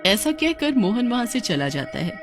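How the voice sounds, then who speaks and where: A woman's voice: on the high side, big pitch swings, normal speed, soft background music. A woman narrating a scripted cartoon story.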